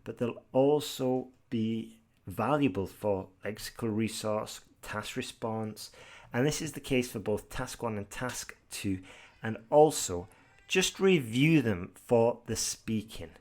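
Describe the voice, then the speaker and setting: A British man from Britain teaching an exam-prep podcast tutorial in a brisk, direct way, with some fillers and restarts. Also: medium pitch, lively intonation. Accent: British English.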